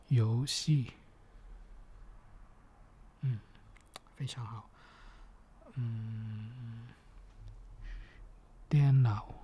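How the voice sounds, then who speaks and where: A man speaking gently and patiently in soft, partly whispered ASMR, with hesitant, step-by-step phrasing. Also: mid-range voice, a little hiss or hum. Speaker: ASMR performer.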